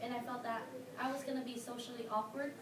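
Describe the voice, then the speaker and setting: Filipino-accented teen girl, high voice, earnest prepared speech with fillers, in an echoey, noisy room some way from the mic. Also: steady tone, far from the mic. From Philippines. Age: teens. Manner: earnest, halting with fillers, stressing key words. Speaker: high school student speaker.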